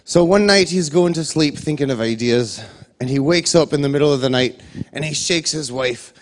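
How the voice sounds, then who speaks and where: A man's voice: a little high, moderate pace, lightly acted. A man playing a boastful old Scottish golf legend, telling a rambling comic tale in a Scottish accent. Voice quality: rising, excitable ranting. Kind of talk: comedy sketch storytelling.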